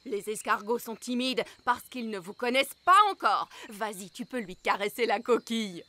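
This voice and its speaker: A woman playing a brisk, encouraging riding instructor who teaches children in a cartoon. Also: a little high, sharp tone. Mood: instructive. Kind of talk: French cartoon dub acting. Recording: music behind the voice.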